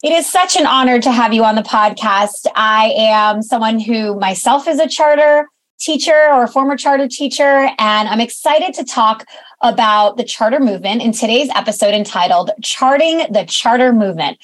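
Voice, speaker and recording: A woman's voice: on the high side, normal speed. A young woman hosting a podcast, welcoming her guest with enthusiasm. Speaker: podcast host. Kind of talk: podcast hosting, scripted intro.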